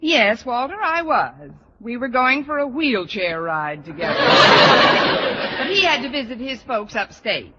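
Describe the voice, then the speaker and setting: A woman playing a wry, sharp-tongued English teacher with an American accent in an old-time radio sitcom. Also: a little high, expressive, unhurried. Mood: dry, wry. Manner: dry, sardonic. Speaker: lead actress. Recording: muffled noisy radio recording, studio audience.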